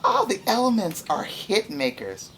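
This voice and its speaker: Bright-spirited, playful flowers acted by a woman storyteller chatter and sing in a high, swooping voice. They gush with showy, youthful excitement in a storybook style. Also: unhurried, vocal fry, slight background noise, heavily acted. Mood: excited, admiring. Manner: gushing, playful, sing-song, breaking into song.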